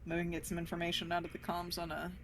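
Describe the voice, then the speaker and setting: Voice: medium pitch, monotone, rapid-fire, fairly bright tone, a little hiss or hum. A tabletop game player talking casually and thinking aloud while discussing what to do next.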